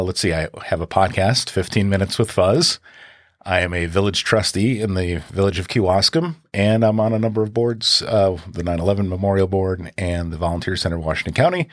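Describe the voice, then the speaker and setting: A middle-aged man with a very deep, low voice tells his story in an easygoing, rambling way, pausing on fillers as he thinks. The sound is dry and close, with no room around it. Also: no echo. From Wisconsin. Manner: relaxed storyteller, many fillers and restarts. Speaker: podcast guest. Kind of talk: casual conversational interview.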